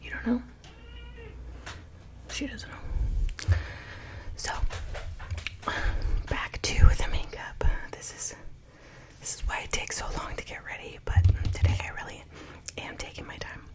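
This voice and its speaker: A woman's voice: normal speed, pauses often. A young woman whispering through a makeup tutorial, rambling with plenty of fillers and chatting to her viewers. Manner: chatty, self-conscious, asks viewers for comments. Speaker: beauty vlogger.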